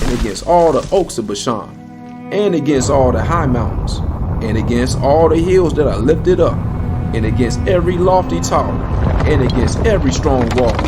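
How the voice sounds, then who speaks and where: A man narrating a dramatized scripture reading in a solemn, declamatory manner. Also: mid-range voice. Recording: music bed behind the voice. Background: musical score.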